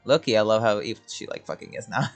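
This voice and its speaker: A woman's voice: extremely low pitch, fast talker. A woman giving casual, colloquial, sweary reactions as she plays a video game. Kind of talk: casual gameplay commentary. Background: game music.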